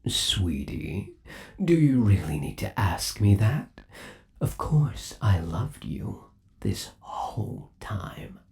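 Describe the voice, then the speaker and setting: A man's voice: on the low side, big pitch swings, slow, vocal fry, a little mellow, heavily acted. A man playing an undead animatronic boyfriend in an ASMR roleplay, coaxing and theatrical, lingering on endearments with eerie, possessive devotion. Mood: tender, reassuring. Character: undead animatronic boyfriend, obsessively devoted, eerily tender yandere.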